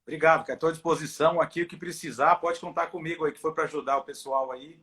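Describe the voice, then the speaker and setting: Middle-aged male doctor as interview guest, inland São Paulo Brazilian accent, high voice, casual and friendly. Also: steady tone, fast, a bit bright. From Ribeirão Preto, São Paulo, Brazil. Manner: friendly, informal. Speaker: guest, football club doctor. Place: at home on a video call.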